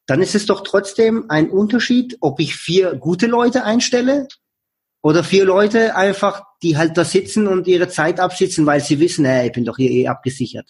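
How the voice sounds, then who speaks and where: Husky man giving earnest advice. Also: high-pitched, normal speed, darkish tone. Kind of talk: casual conversation.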